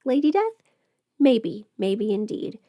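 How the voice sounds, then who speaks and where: A woman's voice: fairly high pitch, takes it slowly, dark tone, dry recording. A woman casually chatting on a livestream while doing her makeup, playful and absent-minded, muttering to herself in a close-miked voice. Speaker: livestream host, voice performer.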